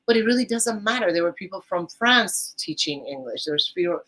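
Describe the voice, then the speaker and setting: A woman's voice: lowish voice, expressive, moderate pace, a bit bright. A woman from Iran with a Persian accent, a guest on a podcast interview, talking enthusiastically about volunteer teaching, with a rambling, restarting manner.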